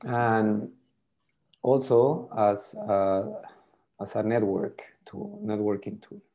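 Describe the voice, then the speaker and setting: Middle-aged French-native man on a video call. Lowish, mellow voice, halting delivery with repeated words. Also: very animated. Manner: hesitant, repeats words while thinking. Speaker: tool demonstrator. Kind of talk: casual video call demo.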